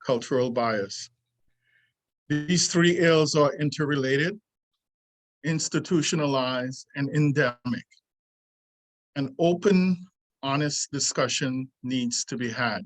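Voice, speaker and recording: A man's voice: mid-range voice, poor audio. Earnest older man reading aloud. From Trinidad. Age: 60s. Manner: earnest and deliberate, stops and restarts lines. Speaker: martial arts teacher. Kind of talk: reading a prepared essay aloud.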